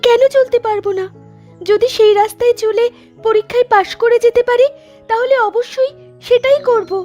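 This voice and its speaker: Woman voicing a very high-pitched cartoon schoolgirl. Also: fairly even intonation, normal speed, mellow, muffled recording. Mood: earnest. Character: anxious teenage schoolgirl who failed her exam. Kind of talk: Bengali cartoon voice acting. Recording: background music under the voice.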